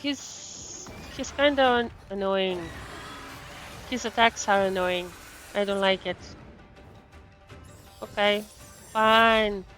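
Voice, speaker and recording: A woman doing casual live game commentary as a VTuber, murmuring to herself and exclaiming between pauses. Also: mid-range voice, steady tone, fairly bright tone. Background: game music.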